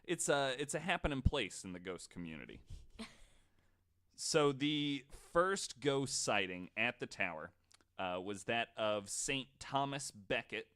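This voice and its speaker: A man's voice: mid-range voice, animated, unhurried, a bit bright. A man hosting a podcast, telling stories to co-hosts in a casual, joking, informal way with some swearing. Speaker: podcast host.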